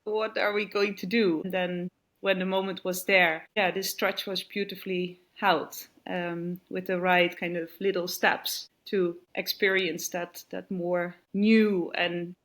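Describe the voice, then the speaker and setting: A woman's voice: fairly low pitch, level tone, slow pace, a bit bright. A woman, reflecting on a retreat in an interview, searching for words with frequent restarts. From Netherlands. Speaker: retreat participant.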